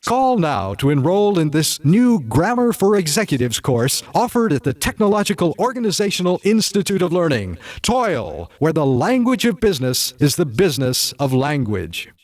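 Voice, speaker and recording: A man's voice: a little high, big pitch swings, normal speed, few pauses. A man playing an eager, slick announcer in a parody radio commercial, emphatic and salesmanlike. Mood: slick, selling.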